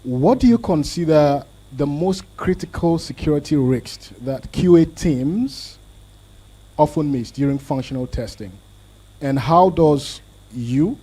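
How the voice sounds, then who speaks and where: A young man moderating a conference panel, polite and slow with fumbling phrasing, into a handheld mic. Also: mid-range voice, warm tone, slightly rough, low-quality recording. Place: conference hall on stage.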